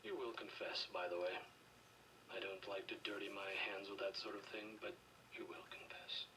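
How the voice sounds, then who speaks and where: A cold, middle-aged government official with a low voice, interrogating in a video game. He is measured, condescending and quietly threatening. The audio sounds distant and noisy. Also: little pitch movement. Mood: controlled threat.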